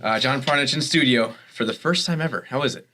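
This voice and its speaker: Bright, fast-talking man hosting. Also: medium pitch. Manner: joking, loose and chatty. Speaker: college sports podcast host. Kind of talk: casual interview hosting. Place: radio studio.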